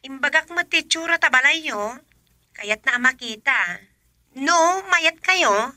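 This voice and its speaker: An actress playing a brisk, persuasive young adult daughter talking to her mother in a radio comedy drama. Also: sits high, expressive, sharp tone, no echo, heavily acted. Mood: persuading.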